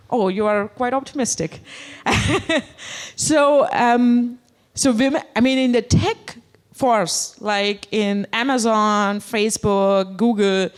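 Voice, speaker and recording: A woman with an Indian accent giving a conference talk to an audience, hesitant, with many fillers and questions to the room. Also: fairly high pitch. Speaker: conference speaker. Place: conference hall.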